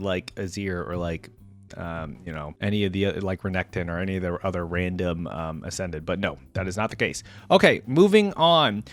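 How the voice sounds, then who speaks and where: Casual male gaming reaction host. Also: medium pitch. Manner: informal, appreciative. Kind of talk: casual commentary, reading aloud and reacting.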